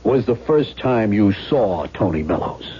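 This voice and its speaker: Husky, insistent police lieutenant. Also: male voice, on the low side, expressive, measured pace, warm tone, heavily acted. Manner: probing, insistent, businesslike. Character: brisk, skeptical male police lieutenant questioning witnesses. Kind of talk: 1970s radio drama acting. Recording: muffled vintage radio broadcast.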